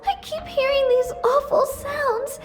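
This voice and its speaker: A tiny, very high voice of a timid anime game girl, speaking slowly and hesitantly. She sounds earnest and fragile, trying to be brave, in an echoey space with music behind her. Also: fairly even intonation. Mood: urgent. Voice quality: small, childlike. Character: frightened, self-deprecating young girl putting on a brave face. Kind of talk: anime video game cutscene acting.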